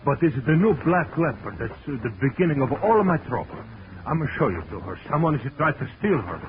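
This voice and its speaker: An excitable Italian circus owner in an old radio play. His voice swings up and down as he dotes effusively in broken English. The recording is dull and noisy. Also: fairly high pitch, fast. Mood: fond, worried. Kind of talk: 1940s radio acting.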